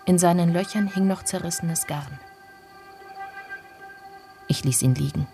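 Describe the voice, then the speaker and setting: A brooding female storyteller in a literary radio drama. Her voice is low and full of fry, and she speaks in hushed, deliberate fragments over music. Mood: hushed, ominous. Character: brooding female narrator recounting dark village memories.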